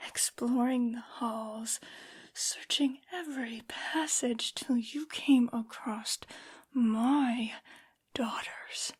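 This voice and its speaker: A woman performs a haughty vampire noblewoman in an ASMR roleplay. Her high voice is very breathy, mostly whispered, and she draws out each imperious, menacing phrase extremely slowly. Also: a little mellow, exaggerated voice. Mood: wounded, scornful. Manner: imperious, slow, drawn-out scolding. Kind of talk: soft-spoken ASMR roleplay.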